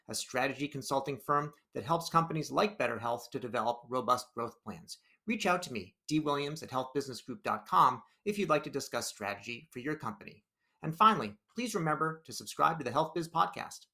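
A man's voice: medium pitch, animated, brisk, creaky, pushed and bright. A man hosting a business podcast, reading a scripted introduction and then asking his guest questions in a loose, conversational way. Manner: conversational, with fillers and restarts when asking. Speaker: podcast host.

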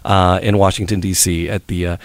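A man hosting a pet radio show in casual chat, his voice hoarse and froggy, rambling with many restarts. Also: low voice, level tone, a little fast, no room sound.

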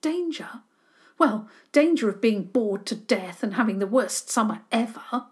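A woman reading aloud, voicing an excitable, dramatic boy who hates his lessons, with an eager, high and breathless delivery. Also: unhurried. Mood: excited.

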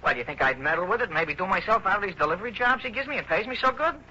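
An actor playing a nervous, excitable small-time courier for crooks in a radio drama, stammering and repeating himself in New street slang. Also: male voice, high-pitched, rapid-fire, heavily acted. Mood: eager, defensive. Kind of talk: 1960s radio drama acting. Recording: muffled, noisy old radio recording.

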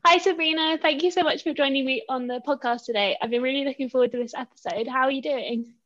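A woman's voice: high voice, quick pace, fairly bright tone, muffled recording, soft background music. A woman hosting a podcast, reading an upbeat intro and then asking her guest questions. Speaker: podcast host. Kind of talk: scripted intro and casual interviewing.

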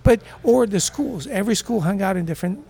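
A man's voice: sits high, animated, brisk, gravelly, warm tone. A man in his fifties from Brazil, native speaker of Portuguese, chatting enthusiastically as an interview guest with surfer slang. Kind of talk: casual interview chat.

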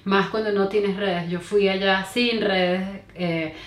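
A woman's voice: mid-range voice, fairly even intonation, slow. Hesitant Venezuelan woman in echoey room. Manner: hesitant, many fillers and restarts. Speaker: interview guest. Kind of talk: casual conversational interview.

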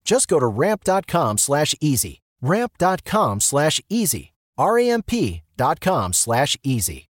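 Male commercial announcer: scripted, animated, slightly high, dry studio sound. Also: measured pace, no room sound. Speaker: advertisement announcer.

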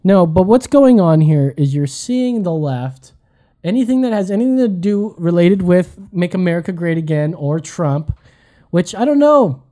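Mellow-voiced man, blunt political banter. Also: high voice, lively intonation, normal speed. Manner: blunt, profane, combative. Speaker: podcast co-host. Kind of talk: heated casual political banter.

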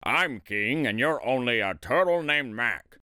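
A man voicing a boastful, power-hungry turtle king from a children's storybook, with pompous, commanding, drawn-out proclamations. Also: mid-range voice, animated, bright, forceful tone, clean tone, dry recording, studio quality. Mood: angry, commanding.

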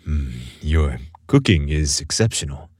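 A seductive biker played by a man with an extremely deep, husky voice. He teases deliberately, flirting his way through a steamy romance story. The sound is close and dry. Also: animated, a little mellow, no room sound, exaggerated voice. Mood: flirtatious.

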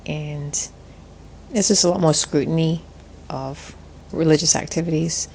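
A woman in her forties, a guest in an interview, talking in a thoughtful, measured way with frequent restarts. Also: deep voice, fairly even intonation, darkish tone, slight background noise. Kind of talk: reflective interview conversation.

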